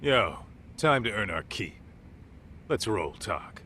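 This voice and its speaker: Blunt tough sidekick, low voice, casual, gruff, video game drama. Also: male voice, very animated, slow, pushed and bright, a little hiss or hum, heavily acted. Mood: brash. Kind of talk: naturalistic video game cutscene acting.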